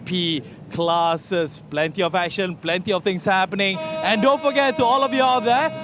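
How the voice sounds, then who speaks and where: A man presenting live at a motorsport event in an upbeat, promotional manner. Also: high voice. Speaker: motorsport co-host and pit reporter. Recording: clear outdoor trackside noise. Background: vehicles and circuit ambience.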